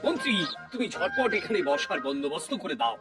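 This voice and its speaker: Cartoon king: kindly but commanding, fairly high male pitch, lively swings, music underneath. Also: fast talker. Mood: commanding, curious. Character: kindly, authoritative Bengali maharaja who protects animals. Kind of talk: cartoon voice acting.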